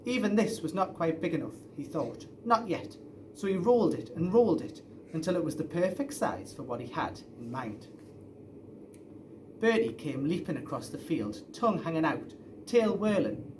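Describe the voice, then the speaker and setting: A man's voice: fairly high pitch, very animated, moderate pace, noisy background. A man reading a children's story aloud expressively, as a storyteller. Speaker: story reader.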